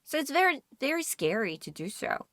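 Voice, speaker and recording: A woman's voice: high voice, sing-song, fairly quick, a bit bright, dry recording, clean studio sound. A woman co-hosting a casual podcast, hedging her points with frequent "like" and "I mean". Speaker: podcast co-host. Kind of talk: casual chat.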